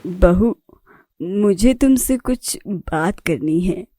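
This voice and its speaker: Cartoon role: actress as timid elderly mother-in-law, slow, mellow delivery, pleading and long-suffering. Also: on the low side, animated, slight background noise. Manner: pleading, timid, gentle-worded. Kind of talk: Hindi cartoon story acting.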